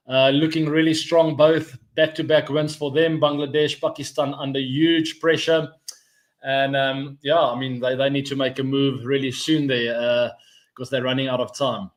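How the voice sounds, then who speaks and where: A man in his forties chats casually on a live stream about cricket. His voice sits a touch higher than most men's. He rambles in a friendly way and thinks out loud, staying upbeat throughout. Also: flat delivery, moderate pace. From South Africa. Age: 40s.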